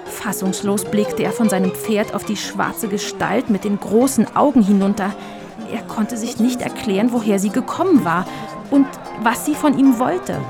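A woman narrating a children's radio play in a lively storytelling manner. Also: mid-range voice, normal speed, vocal fry. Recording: crowd noise and music behind the narration.

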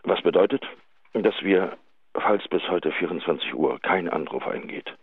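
A man's voice: sits low, animated, a little slow, slightly rough, heavily acted. An actor in a radio drama playing a formal, awkward chief inspector, officious and hesitant as he leaves a phone message. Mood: officious, grave. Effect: telephone filter. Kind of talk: German radio drama acting. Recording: tinny, echoey phone line.

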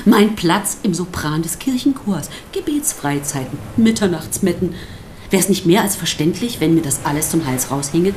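Low female voice, actress playing defiant pastor's wife, mocking and provocative, radio drama, slight noise behind. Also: animated, moderate pace, a little mellow, natural voice. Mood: exasperated, insistent. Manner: sardonic, provocative, theatrically emphatic. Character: sardonic, defiant pastor's wife under police questioning. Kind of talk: German radio drama acting.

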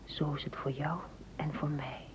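An actress in a radio play playing a dreamy, tender woman gardener, speaking softly and poetically, often in a whisper. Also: low voice, flat delivery, a little slow. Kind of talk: radio drama acting. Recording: muffled, noisy old radio recording.

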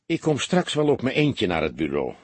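A man's voice: mid-range voice, wildly swooping pitch, normal speed, slightly rough, dry recording. A man voicing a shy, apologetic small-time crook, hesitant and wheedling, in an audiobook story. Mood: sheepish. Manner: hesitant, wheedling, colloquial Dutch.

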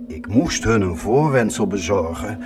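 An actor playing a bitter, mocking fallen priest tormented by a devil, in a theatrical, accusing radio drama style. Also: male voice, on the low side, lively intonation, music in the background. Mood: defiant confession. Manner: slow, accusing, sardonic, solemn declaiming.

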